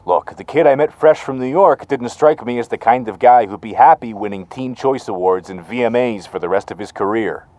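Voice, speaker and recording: A man's voice: medium pitch, fairly quick, few pauses, mellow, no echo, close to an everyday voice. An actor playing a pushy, chummy male talent agent, glib and persuasive, in a naturalistic audio drama.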